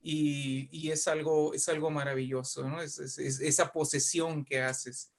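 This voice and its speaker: A man's voice: a little high, level tone, unhurried. A man speaking as a guest writer and poet in a conversational interview, telling literary anecdotes in an effusive, emphatic way and often repeating words.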